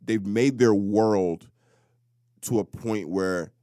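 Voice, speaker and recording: A man speaks in a somewhat low voice in a casual podcast discussion. He is informal and halting, often searching for the word, and the recording is very dry. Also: warm tone, dry recording. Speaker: podcast co-host.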